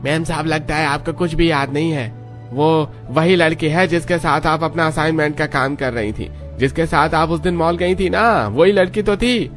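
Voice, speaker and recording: Respectful cartoon servant-driver, male actor, deferential, higher male pitch, music underneath. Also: normal speed, a bit bright, natural voice. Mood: apologetic. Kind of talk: cartoon story dialogue.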